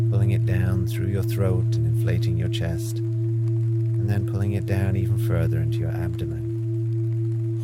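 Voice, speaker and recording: A man with a low-pitched voice guides a mindfulness meditation. He gives gentle, measured instructions and leaves frequent pauses between phrases. Prominent music with singing bowl tones plays behind him.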